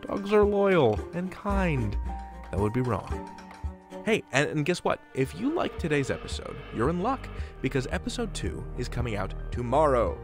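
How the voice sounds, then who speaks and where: A man's voice: on the high side, very animated, moderate pace, over music. A man hosting a podcast, moving between playful scripted announcements and interview questions. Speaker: podcast host.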